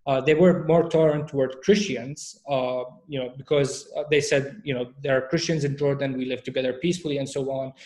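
A man, a researcher, answering interview questions in a measured way, with frequent fillers and restarts. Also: mid-range voice, steady tone, darkish tone. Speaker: researcher guest.

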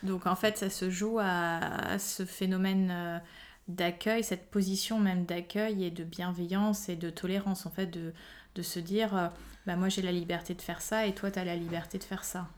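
A woman hosting a podcast interview, gentle and attentive, asking long probing questions. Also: lowish voice, steady tone, normal speed. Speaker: podcast host. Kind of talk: interviewing a guest.

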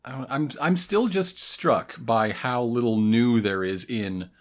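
A man's voice: medium pitch, measured pace. Male podcast host, mid-range voice, conversational, wry and a little hesitant as he rambles along. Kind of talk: casual chat.

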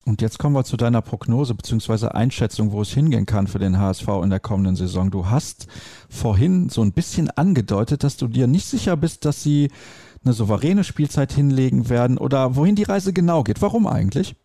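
A man's voice: mid-range voice, animated, brisk, mellow, no room sound, clean studio sound. A man hosting a conversational sports discussion, stating his opinions and readily correcting himself. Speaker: sports talk host.

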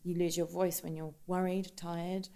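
A middle-aged woman, a stage actress, chatting in an interview in a rich, deliberately lowered voice, a little tired, with many restarts and quoted asides. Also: flat delivery, warm tone, smooth. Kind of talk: interview chat.

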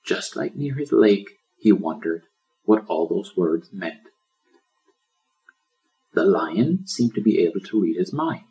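A man's voice: low-pitched, sing-song, takes it slowly, pauses often, warm tone, low-quality recording. A man reading a children's story as scripted audiobook narration. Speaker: author reading his audiobook.

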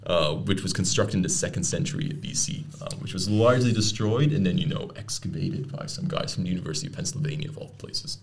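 Low-voiced chatty young man. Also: fast talker, hardly any pauses. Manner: chatty, shares fun facts with rising enthusiasm. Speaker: podcast co-host. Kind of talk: casual chat.

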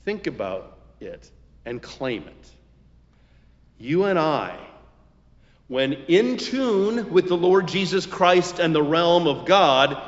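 A man preaching a sermon as a pastor, in deliberate, emphatic phrases broken by pauses. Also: high voice, in a large hall, muffled recording.

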